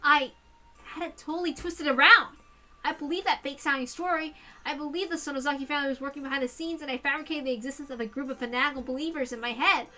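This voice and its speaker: A woman voicing a teenage boy narrator who broods and then berates himself, her voice hoarse. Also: sits high, steady tone, fast talker. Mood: brooding. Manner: swings from musing to shouting at himself. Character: teenage boy narrator, jumpy and self-blaming.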